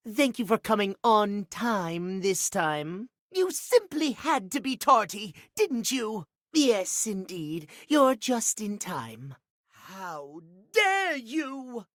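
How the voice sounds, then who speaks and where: A man's voice: sits high, very animated, slow pace, a bit bright, no room sound, studio quality, heavily acted. A man voicing a prim, haughty, easily offended timekeeper in a video game, with pompous, theatrical formal phrasing. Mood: fussy, pleased. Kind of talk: video game character voice acting.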